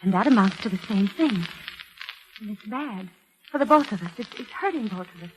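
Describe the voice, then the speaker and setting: An actress playing an anxious wife and pianist in 1940s radio drama, intense, insistent and imploring. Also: female voice, mid-range voice, lively intonation, a little fast, natural voice. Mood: confiding, urgent. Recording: noisy old 1940s radio recording.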